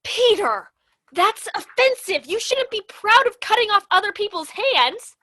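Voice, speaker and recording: A woman's voice: extremely high pitch, big pitch swings, measured pace, pushed and bright, dry recording, exaggerated voice. A woman playing Wendy, a sensible, bossy girl who scolds and mothers the boys, in an amateur stage play. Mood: scolding. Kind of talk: amateur theatre acting.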